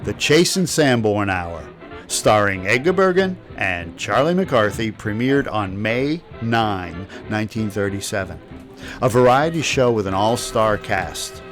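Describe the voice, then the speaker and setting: A man's voice: lowish voice, animated, a little slow, fairly bright tone, soft background music. A man presenting scripted documentary narration.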